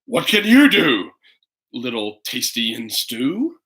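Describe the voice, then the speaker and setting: A man voicing a fierce, hungry, boastful bear in a storybook, speaking slowly and menacingly. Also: mid-range voice, wildly swooping pitch, bright, forceful tone, exaggerated voice. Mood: scornful. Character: fierce hungry bear, boastful, drooling over a little girl.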